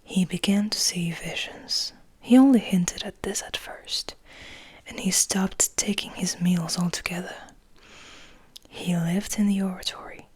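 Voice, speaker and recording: A woman reads fiction in an ASMR style, almost entirely in whisper. Her voice is extremely breathy and airy, sitting a little low for a woman. She tells the story gently and without hurry, keeping everything soft. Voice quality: breathy, mostly unvoiced whisper. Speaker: ASMR host and reader.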